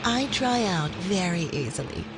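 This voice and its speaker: A woman's voice: on the low side, big pitch swings, measured pace, background noise. A woman playing a young bride-to-be in a 1930s-style radio horror drama, with theatrical, emotional delivery. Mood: playful, teasing. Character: young bride-to-be, playful, then desperate while presumed dead.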